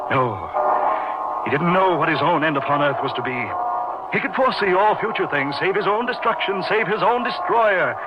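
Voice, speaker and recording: An actor playing an anguished man telling a dark confession as a melodramatic old-time radio horror monologue, with emphatic repeats and dramatic pauses. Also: on the high side. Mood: desperate, anguished. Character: anguished male narrator confessing a desperate killing. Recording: muffled old radio recording, loud music.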